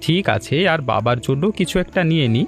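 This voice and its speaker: A man voicing a considerate, agreeable young husband and caring son-in-law in an animated story. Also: medium pitch, fast, soft background music, lightly acted. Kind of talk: Bengali animated story dialogue.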